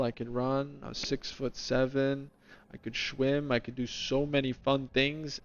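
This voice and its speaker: A man's voice: medium pitch, creaky. Chatty streamer man, slightly dull mic. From New Jersey, US. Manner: rambling, chatty, talks to chat by name. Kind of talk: casual stream chat in a lemon persona.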